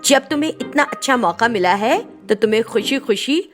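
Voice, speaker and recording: A woman's voice: on the high side, animated, normal speed, faint music behind, close to an everyday voice. A woman playing a kind, encouraging film actress who speaks to others as a caring employer.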